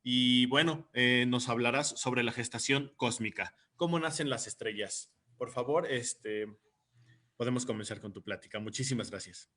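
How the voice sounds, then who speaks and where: A man's voice: mid-range voice, sharp tone. Man introducing a guest, stumbling on names. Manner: halting, stumbles over foreign names. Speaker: event host. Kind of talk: introducing a speaker.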